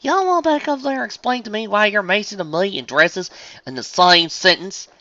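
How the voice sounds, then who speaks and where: Man doing a loud, folksy Southern farm mare. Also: high-pitched, lively intonation, brisk, dry recording, muffled recording, pitched above the performer's own voice, heavily acted. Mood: baffled. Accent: Southern US twang. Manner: folksy, drawling, blunt. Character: plain-spoken, hearty young female farm pony.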